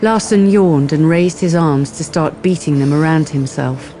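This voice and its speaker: A woman's voice: low-pitched, unhurried, mellow, slight background noise. A woman reading scripted narration for a radio drama. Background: music.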